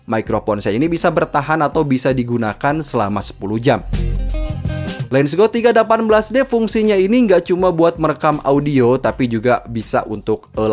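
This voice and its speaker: A man with an Indonesian accent narrating a scripted gadget review. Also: medium pitch.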